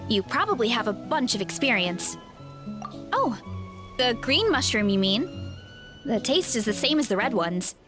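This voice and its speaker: Timid young heroine. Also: female voice, on the high side, very animated, moderate pace, long pauses, over music. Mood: determined. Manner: gentle, hesitant, earnest. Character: timid young woman warrior finding her courage.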